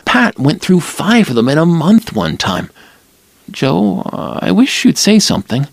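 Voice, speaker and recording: A man's voice: big pitch swings, unhurried, vocal fry, no echo, heavily acted. A man reading an audiobook, voicing a fragile, needy young woman in a raised, breathless, pleading voice. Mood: anxious. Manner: breathless, pleading, voice lifted high. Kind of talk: audiobook character voice.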